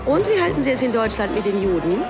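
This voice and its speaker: A woman's voice: a little high, very animated, fast talker, music in the background. A woman playing a poised, confident English society lady in a radio drama monologue. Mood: poised, cool.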